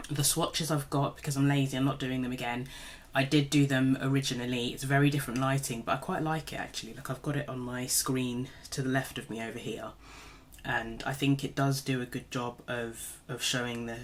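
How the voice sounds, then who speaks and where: A woman recovering from a cold, slightly nasal, chatting in a rambling, self-deprecating way while doing her makeup at home. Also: extremely low pitch. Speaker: beauty vlogger. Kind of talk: casual makeup tutorial chat.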